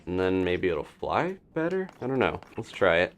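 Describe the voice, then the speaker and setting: A man with a low, gravelly voice streams a video game and talks through it casually. His pitch moves around a lot as he rambles playfully and mutters to himself while working things out. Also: big pitch swings, normal speed, a little hiss or hum. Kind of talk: casual live gameplay commentary, thinking aloud.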